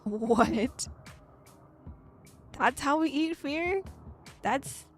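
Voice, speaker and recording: A woman streamer reading a visual novel aloud in first person, breaking off into animated, exclaiming reactions. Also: high voice, drawn-out words, faint music behind. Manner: animated reactions, gasps and laughs between read passages. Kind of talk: game playthrough, reading text plus reactions.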